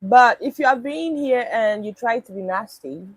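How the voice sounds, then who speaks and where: A young woman talks straight to her live chat in a husky, breathy voice, pitched a little high. She is blunt and swears freely when she answers comments. The sound is dry and close, with no room echo. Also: lively intonation, moderate pace. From Nigeria. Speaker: live streamer. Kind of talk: casual live stream chat.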